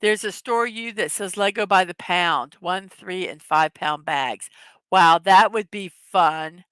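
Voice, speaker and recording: Hoarse chatty woman with a bright voice. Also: fairly low pitch, takes it slowly, dry recording. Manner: chatty, reads chat messages aloud, laughs often. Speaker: livestream host. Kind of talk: casual morning livestream chat.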